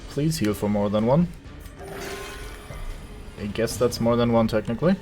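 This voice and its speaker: A German-accented man streams a game and narrates his moves in a casual, wry way. He teases his own party and swears freely. His voice sits mid-pitch over faint background music. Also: moderate pace, warm tone. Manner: sarcastic, joking with the game, swears. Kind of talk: casual gameplay commentary.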